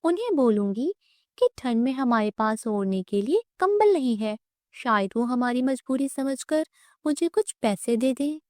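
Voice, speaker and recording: A woman voicing a kind, self-sacrificing young orphan girl, an elder sister in a cartoon moral story, gentle, earnest and polite. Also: high voice, expressive, unhurried, dry recording, clean studio sound. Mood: caring.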